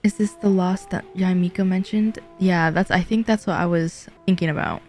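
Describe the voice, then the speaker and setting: Mellow woman streamer musing aloud. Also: lowish voice, steady tone, moderate pace, soft background music. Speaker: streamer playing and reacting to a game. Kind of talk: casual gameplay commentary.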